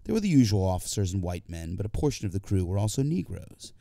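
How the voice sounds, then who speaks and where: A man narrates a literary audiobook in the first person. His voice sits on the low side and is soft-edged, dark and relaxed. The sound is very dry, with no room around it. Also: fast talker, gravelly, no room sound.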